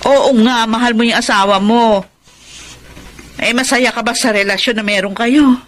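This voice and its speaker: A woman's voice: fairly high pitch, steady tone, normal speed, a little hiss or hum, close to an everyday voice. An actress playing a nosy but concerned older female confidante in a Filipino radio drama, blunt and emphatic. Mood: blunt, scolding.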